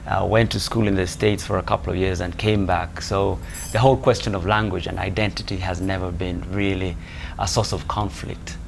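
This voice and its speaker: A man from Kenya talks in a relaxed, matter-of-fact manner. His voice is very deep and gravelly. Faint hiss sits underneath. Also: brisk, few pauses. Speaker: interview guest, family member. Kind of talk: casual interview conversation.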